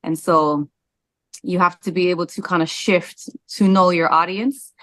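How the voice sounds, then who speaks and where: A woman, answering interview questions candidly, with frequent "um" pauses. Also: on the low side, steady tone, fairly bright tone, no echo. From Hawaii. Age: 40s. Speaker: interview guest.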